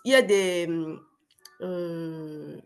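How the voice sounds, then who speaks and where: A woman's voice: lowish voice, drawn-out words. A young adult woman with a cold, speaking hesitantly as a guest on a video call webinar, with many fillers and restarts. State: sick with a cold, voice affected. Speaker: webinar guest, former client. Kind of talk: casual testimonial on a video call.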